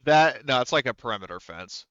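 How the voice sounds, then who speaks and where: Guy running a tabletop game, smooth low voice, explains stuff casually, slightly muffled dry audio. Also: expressive, a little fast, pushed and bright, no room sound. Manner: conversational, explanatory. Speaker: game master.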